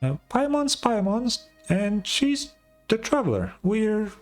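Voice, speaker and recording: A man voicing a small, chatty female fairy companion from a game, excitable and a bit suspicious. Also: high voice, slow pace, soft background music, pitched above the performer's own voice, heavily acted. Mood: earnest. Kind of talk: game dialogue read in character.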